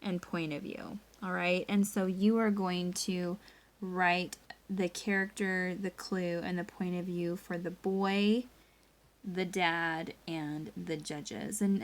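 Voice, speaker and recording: Woman, primary teacher, slow pace, expressive read-aloud, guiding explanations to young pupils. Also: fairly low pitch. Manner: expressive storybook reading, guiding questions. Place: indoors at a laptop.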